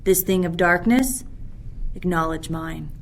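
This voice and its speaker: A woman playing a commanding exiled duchess and mother in a Shakespeare radio play, speaking formally and deliberately. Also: lowish voice. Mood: stern accusing. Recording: noisy recording with ambient sound texture.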